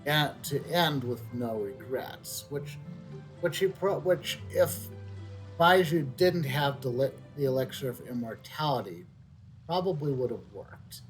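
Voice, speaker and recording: A middle-aged man giving casual, unscripted video game commentary, halting and reflective, repeating words as he thinks. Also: medium pitch. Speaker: game streamer. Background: soft video game music.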